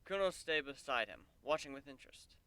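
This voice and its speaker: A man's voice: mid-range voice, very animated, a little fast, sharp tone, no echo. A man reading a story aloud as narrator, in the style of a dramatic audiobook. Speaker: narrator, fan fiction reader.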